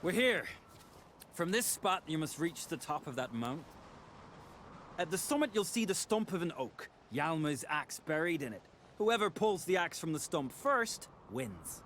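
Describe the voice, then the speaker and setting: A man's voice: on the high side, big pitch swings, unhurried, fairly bright tone, a little hiss or hum. A man playing a hearty, restless islander in a fantasy game, boisterous and blunt. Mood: eager, hearty. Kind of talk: cinematic fantasy game acting.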